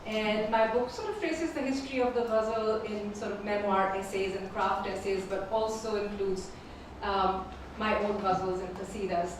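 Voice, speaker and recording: A woman whose first language is Urdu, reading her poems slowly and repeating each first line at a live poetry event. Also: mid-range voice, steady tone, background noise. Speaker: featured poet. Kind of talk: poetry reading with spoken introductions. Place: performing arts venue. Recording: echoey hall from far off.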